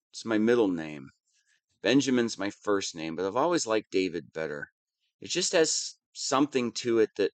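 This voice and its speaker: Male actor as young wannabe supervillain, whiny, petulant, lovestruck, animated pitch, unhurried pace, dry close recording, audio roleplay. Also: no echo, full character voice. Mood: shy. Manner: petulant, defensive, swinging between sheepish mumbling and indignant outbursts. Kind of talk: scripted audio roleplay monologue.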